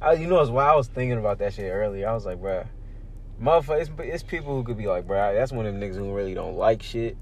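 A man's voice: fairly low pitch, lively intonation, quick pace, lo-fi sound, a little hiss or hum. A man in his early twenties co-hosting a podcast, talking in a laid-back, slangy way. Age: 20s. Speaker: podcast co-host. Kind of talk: casual chat.

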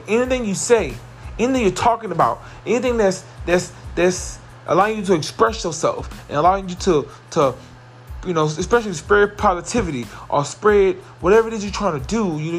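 A man hosting his own motivational podcast, his fairly high voice carrying well as he repeats his points with rising excitement. Faint music plays underneath him. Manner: earnest, repetitive, building to excited emphasis. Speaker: podcast host. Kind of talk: motivational solo podcast monologue.

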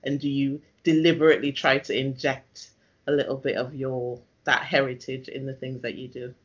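Male interview host, mid-pitched, casual and tentative, meandering delivery, slightly muffled lo-fi audio. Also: little pitch movement, normal speed, slightly rough. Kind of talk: casual interview, reflective questions.

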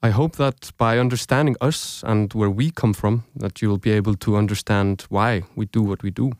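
The host you would hear in earbuds on a thoughtful podcast: a young Icelandic man, native in Icelandic, telling his story at a measured pace in a dry, echo-free booth. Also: lowish voice, steady tone. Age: young adult. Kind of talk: scripted podcast narration.

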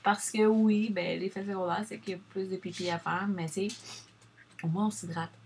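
A woman's voice: mid-range voice, fairly even intonation, normal speed, a little hiss or hum. A woman with a Québécois French accent chatting casually to viewers, rambling and addressing them directly as she shares advice.

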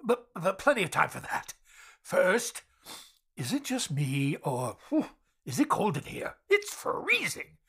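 Husky, wildly swooping old reformed miser. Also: male voice, high-pitched, unhurried, full character voice. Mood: warm, flustered. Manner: excitable, repeats words emphatically. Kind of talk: theatrical comic radio drama acting.

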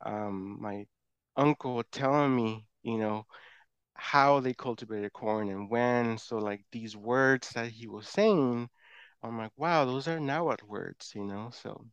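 A man's voice: on the low side, takes it slowly, clear, resonant tone, no room sound, lo-fi sound. A man whose first language is Spanish, speaking casually and informally as a guest in a conversational interview. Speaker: podcast guest, language learner.

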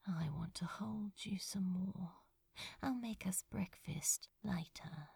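A sleepy, tender man performed by a woman murmurs to his lover in an audiobook. The voice is a hushed, breathy whisper, affectionate and unhurried, recorded close and dry. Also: on the low side, dry recording, exaggerated voice. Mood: tender, soothing. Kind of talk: audiobook character voice.

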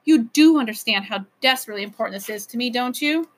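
A woman voicing a lonely, excitable, imperious male magical creature king from a storybook, grand and insistent in manner. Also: on the high side, fast, lightly acted. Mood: wistful, hopeful. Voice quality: lisping.

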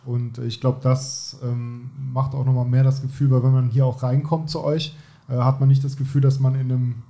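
A man's voice: mid-range voice, little pitch movement, moderate pace, vocal fry, dark tone. A man hosting a podcast, talking in long rambling sentences with a frequent tag "ne?". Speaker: podcast host. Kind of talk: casual interview chat.

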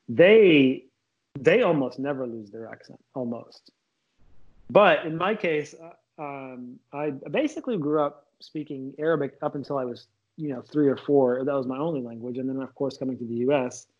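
A man in his late thirties, born in Lebanon, talks through his story as an interview guest. His pitch sits in the middle range, and he rambles, restarting sentences and correcting himself as he goes. The audio is slightly dull and lo-fi. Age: late 30s. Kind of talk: casual conversational interview.

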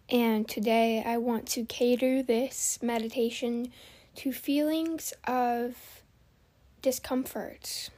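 A woman hosting a guided meditation, giving gentle, soothing instructions with long pauses. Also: on the high side.

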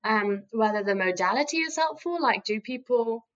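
A woman's voice: a little high, animated, normal speed, a bit bright, dull audio. A woman who speaks Farsi natively, co-hosting a podcast in a chatty, self-deprecating way. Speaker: podcast co-host. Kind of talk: casual podcast conversation.

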